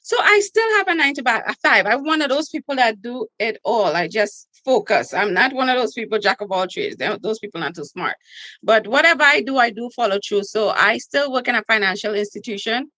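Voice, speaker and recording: A woman's voice: fairly high pitch, expressive, a little fast, pushed and bright, dry recording, rough recording. A woman in her forties with a Trinidadian accent, a podcast guest talking in a brash, playful, boastful way. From Trinidad and Tobago. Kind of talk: podcast interview.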